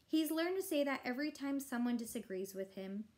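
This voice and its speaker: A woman's voice: sits high, animated, brisk, darkish tone. A woman narrating a children's story expressively, like a teacher reading aloud.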